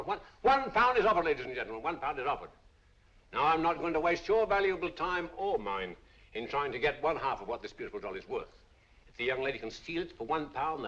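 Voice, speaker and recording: An actor playing a brisk British auctioneer with persuasive, repetitive sales patter in a vintage film. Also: male voice, fairly high pitch, fairly bright tone. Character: brisk, showy London auctioneer, smooth salesman. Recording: dull vintage film soundtrack.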